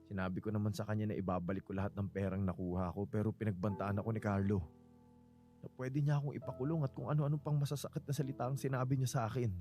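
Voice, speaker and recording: A man's voice: fairly low pitch, steady tone, fast, warm tone, lightly acted. A man playing a guilty, pleading figure, apologetic and anguished in melodramatic radio drama style. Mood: subdued confession. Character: guilty, pleading man confessing he killed his friend. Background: background music.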